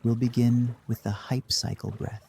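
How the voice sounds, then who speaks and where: A man narrating a scripted guided meditation in a measured, dry deadpan manner. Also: mid-range voice, gravelly, a little hiss or hum.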